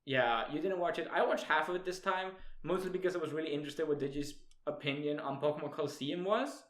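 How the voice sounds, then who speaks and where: Young male co-host, Swedish accent, casual podcast banter, giggly, profane, hesitant. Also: fairly high pitch. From Sweden. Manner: laughs often, swears casually, halting with fillers. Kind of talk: casual chat.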